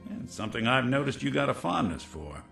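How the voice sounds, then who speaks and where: A man's voice: mid-range voice, very animated, creaky, fairly bright tone, reverberant, faint music behind. A middle-aged American man playing a weary, kindhearted robot detective in a video game, with a wry, old-time hardboiled way of talking. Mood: warm, nostalgic. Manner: wry, old-time hardboiled slang, halting when confiding.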